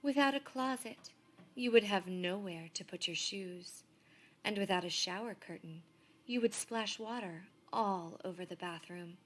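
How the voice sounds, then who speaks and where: A woman narrates a children's picture book at a slow pace and medium pitch. Her reading is expressive and measured, with phrase endings often dropping to a hush. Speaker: storybook reader.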